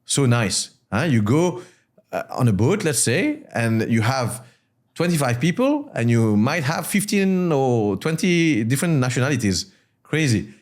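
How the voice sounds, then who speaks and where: Animated man from Mauritius in conversation. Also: medium pitch. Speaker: guest, startup founder and CEO. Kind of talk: conversational interview, explaining his views.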